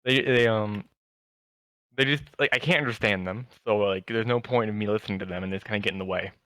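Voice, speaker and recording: Halting man with a dry, close sound. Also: lowish voice, pushed and bright, clear, resonant tone, no room sound. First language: English. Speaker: podcast co-host. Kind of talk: casual podcast chat.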